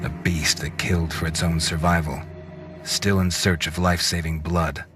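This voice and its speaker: A man's voice: low voice, little pitch movement, normal speed, music in the background, close to an everyday voice. An actor playing a brooding, grave male vampire in a video game, in scripted noir style. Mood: brooding, grim. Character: brooding male vampire hunting for life-saving blood. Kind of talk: scripted first-person noir narration and game dialogue.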